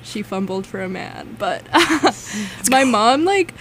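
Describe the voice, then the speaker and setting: A young woman talks as a casual co-host, at a middling pitch. Her delivery is animated and conversational, full of "like" as she goes. There is some faint noise behind her voice. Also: takes it slowly. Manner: animated storytelling, heavy use of "like". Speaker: podcast co-host. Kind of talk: casual chatty discussion.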